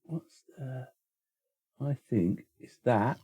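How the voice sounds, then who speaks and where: A man's voice: medium pitch, animated, drawn-out words, mellow, slightly rough, no echo. A British man co-hosting a podcast in casual comedic banter, teasing and sarcastic with plenty of swearing. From Britain. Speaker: podcast co-host.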